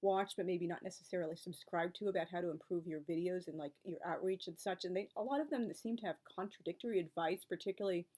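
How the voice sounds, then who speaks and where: A woman's voice: fairly low pitch, fast, few pauses, dark tone. A woman in her forties talking through her own experiences as a book and author video creator in a casual, reflective way. She rambles along, stacking up asides and tangents, with a wry, exasperated edge when she turns to advice from others. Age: 40s. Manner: chatty and rambling, piling up asides. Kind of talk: casual reflective vlog talk.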